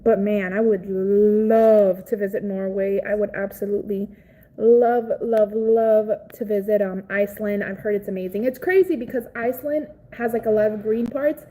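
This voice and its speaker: A young woman from Cuba, raised in Florida, chatting casually on a live stream, greeting viewers by name and reacting excitedly. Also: mid-range voice, normal speed. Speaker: livestream host.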